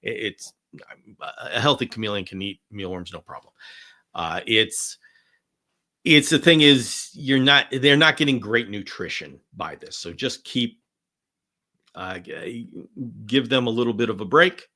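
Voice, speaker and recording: A man hosts a live question session, answering viewers in a loose, enthusiastic ramble full of false starts. His tone is bright and forward, though his voice sounds worn and is starting to go hoarse. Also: fairly low pitch, lively intonation, measured pace. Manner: enthusiastic, rambling with frequent restarts. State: voice going hoarse. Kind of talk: live Q&A chat.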